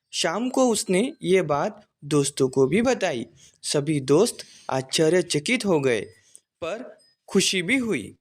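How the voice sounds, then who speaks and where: A man narrating a scripted children's folktale as the storyteller. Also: fairly high pitch.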